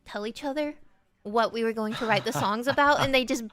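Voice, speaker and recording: A bubbly young woman chats about her music, laughing often and swearing freely. Her voice is smooth and clear, pitched a little high for a woman. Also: brisk, a bit bright. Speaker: singer, guest. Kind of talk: casual chat.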